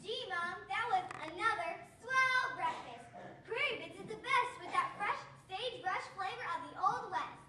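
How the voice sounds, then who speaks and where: A woman's voice: very high-pitched, normal speed. A woman playing a chirpy, gushing young daughter in an old-time radio commercial performed on stage. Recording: roomy distant stage recording.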